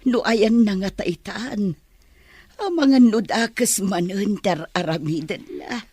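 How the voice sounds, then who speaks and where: A woman's voice: mid-range voice, slow, darkish tone, slightly rough, no room sound. A woman playing a weary, aging mother in a radio drama, sighing and sorrowful over her wayward son. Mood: sorrowful, worried.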